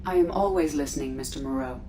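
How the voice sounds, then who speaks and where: A woman voicing a calm, precise female ship computer in a video game, measured, formal and impassive. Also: very deep voice, recorded from a distance, a crowd in the background. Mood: calm, clinical. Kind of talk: video game character acting.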